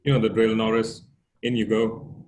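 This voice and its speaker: A man's voice: lowish voice, flat delivery, normal speed, a little mellow, lightly acted. A man at a table read playing a dry, teasing police officer who wisecracks, recorded with noise and clicks. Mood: dry, bantering. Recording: clearly noisy line with clicks.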